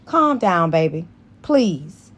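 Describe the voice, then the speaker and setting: A woman voicing a confident, fun-loving young female teacher in an audiobook, in a lively, assertive way. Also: on the low side, slow pace, full character voice. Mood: placating. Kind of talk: dramatized audiobook dialogue.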